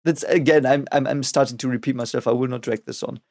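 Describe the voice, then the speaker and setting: Raspy man musing over lyrics. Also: mid-range voice, steady tone, darkish tone. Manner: musing, halting, repeats words often. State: voice raspy from a cold. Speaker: reaction video host. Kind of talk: casual reaction and lyric analysis.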